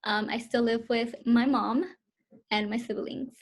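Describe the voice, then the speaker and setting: A woman's voice: a little high, steady tone, a little slow. A woman in her twenties answering interview questions over a video call, hesitant and full of fillers. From Southern California, US. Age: 20s.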